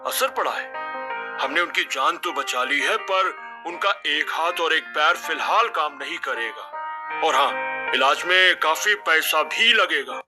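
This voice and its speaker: A man playing a serious, measured hospital doctor breaking bad news in a family story. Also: a little high, expressive, over music. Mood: grave. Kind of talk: Hindi moral family story dialogue.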